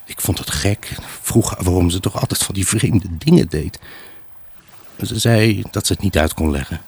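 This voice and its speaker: A brooding, troubled husband in a radio drama. His voice is low and husky, with a rough grain. He recounts his unhappy marriage as an intimate dramatic monologue. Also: moderate pace, a little mellow, full character voice. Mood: reflective, weary. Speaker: actor narrating a radio drama. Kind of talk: dramatic first-person monologue in a Dutch radio play.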